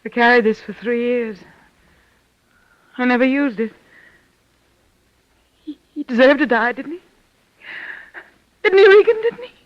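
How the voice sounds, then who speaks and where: A high-pitched, high-strung femme fatale speaks on a muffled vintage radio recording. She is desperate and pleading, in dramatic 1940s melodrama fashion. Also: lively intonation, a little slow, long pauses, dry recording. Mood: distraught, pleading.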